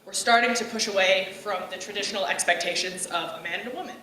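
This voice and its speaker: A young woman delivers a personal talk with a bright, forceful voice, direct and outgoing. She is picked up from some distance in an echoey room. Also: mid-range voice, fast, slight background noise. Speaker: speaker giving a talk. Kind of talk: personal speech on gender roles.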